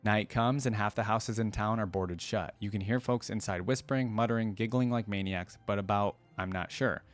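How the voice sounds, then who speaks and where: A man's voice: lowish voice, normal speed, faint music behind. A man hosting a reaction video, talking casually and reading a story aloud.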